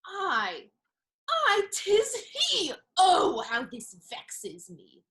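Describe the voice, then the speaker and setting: A woman's voice: extremely high pitch, huge pitch swings, extremely slow, a bit bright, echoey room, full character voice. An actress playing a bold, witty young noblewoman in a Restoration comedy, with spirited, teasing emphasis. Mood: vexed, fluttery. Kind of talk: Restoration comedy stage acting.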